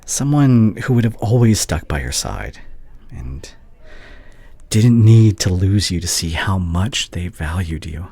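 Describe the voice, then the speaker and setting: A man playing a heartbroken, devoted young doctor ex-boyfriend in an intimate audio roleplay, hushed and halting, often slipping toward a whisper. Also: sits low, animated, no echo, exaggerated voice. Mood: hesitant, tender. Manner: halting, emotional, confessional.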